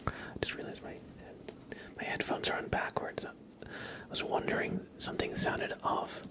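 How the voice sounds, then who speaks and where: A man hosting an ASMR livestream, whispering softly and casually close to the microphone, drawing out and repeating words. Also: low-quality recording. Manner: soft, drawn-out, repeats words slowly.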